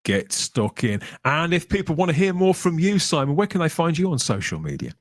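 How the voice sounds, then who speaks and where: A man's voice: on the high side, sing-song, a little fast, no echo, studio quality. A man presenting a podcast in a chatty, wordy, colloquial way, like radio patter. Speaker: podcast host.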